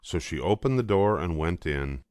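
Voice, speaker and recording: A man's voice: deep voice, expressive, normal speed, a little mellow, dry recording, clean studio sound. An older man reading a children's storybook aloud as scripted narration. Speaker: storybook reader.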